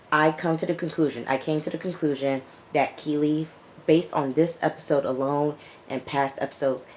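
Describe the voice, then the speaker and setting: A woman with a raspy, hoarse voice from recent illness, reviewing a TV episode in a casual vlog and repeating her points for emphasis. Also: deep voice, a little hiss or hum. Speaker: YouTube TV reviewer.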